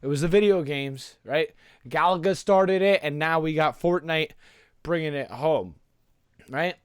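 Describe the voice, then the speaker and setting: A man's voice: a little high, measured pace, smooth, dry recording, studio quality. A man co-hosting a podcast in casual, informal conversation, often checking in with rhetorical questions. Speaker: podcast co-host.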